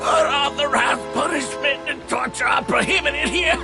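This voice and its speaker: An actor playing an indignant, cowardly male crook in a video game, shouting and blustering in panic. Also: very high-pitched, normal speed, vocal fry. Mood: panicked. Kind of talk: dramatic video game voice acting. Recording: loud game music behind.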